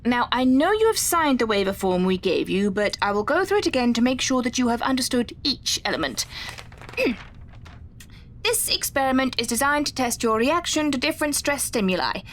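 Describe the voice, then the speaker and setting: A woman playing a polite, composed psychology researcher in an audio drama, explaining things in a formal, measured way. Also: on the high side, big pitch swings. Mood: formal, explanatory.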